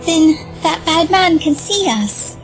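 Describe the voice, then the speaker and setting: A woman's voice: very high voice, lively intonation, takes it slowly, music in the background, heavily acted. A woman voicing a frightened, timid little girl in an audio drama, speaking in a small, pleading voice.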